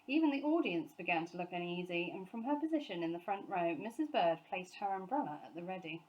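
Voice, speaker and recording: A woman reading a children's story aloud as scripted, expressive narration. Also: lowish voice, fast, highs cut off. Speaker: story reader, teacher.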